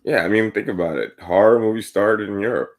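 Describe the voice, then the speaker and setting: A man with a very low voice chatting on a podcast. He rambles loosely, leans on "like" and "right?", and keeps restarting his sentences. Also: brisk. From United States. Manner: rambling, filler-heavy, says "like" and "right?" often. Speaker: podcast co-host. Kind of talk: casual podcast chat.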